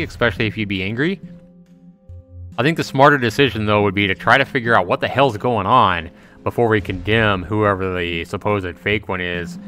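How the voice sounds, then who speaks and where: A man's voice: on the low side, bright, forceful tone. A man giving casual live gameplay commentary, thinking aloud and repeating himself as he talks to his viewers. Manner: repetitive, thinking aloud, addressing chat viewers. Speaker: livestream host playing a visual novel.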